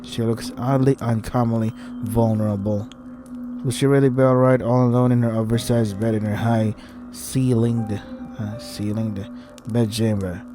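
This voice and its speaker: A man's voice: medium pitch, steady tone, moderate pace, music in the background. A man reading a visual novel aloud and commenting on it in an irritated, sarcastic way with heavy swearing. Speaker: let's play host.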